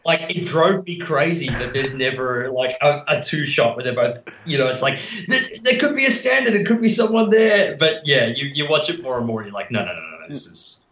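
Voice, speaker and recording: A man's voice: mid-range voice, very animated, a little fast, hardly any pauses, a bit bright, lo-fi sound, slight background noise. Man in an echoey room. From Australia. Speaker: podcast guest. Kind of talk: casual film discussion. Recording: echoey, roomy sound.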